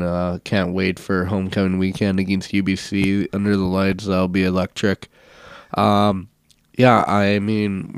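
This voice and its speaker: A young man co-hosting a casual sports podcast, stuffed up from a cold and talking in a relaxed, rambling way. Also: lowish voice, smooth, no echo, studio quality. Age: young adult. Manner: relaxed, rambling, many fillers. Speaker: podcast co-host, university football player.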